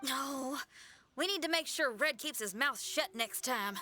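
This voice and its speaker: A woman's voice: high voice, expressive, measured pace, bright, forceful tone, heavily acted. An actress playing a friendly, loyal young woman in a video game, with a folksy, casual manner. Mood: practical. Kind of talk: English video game voice acting.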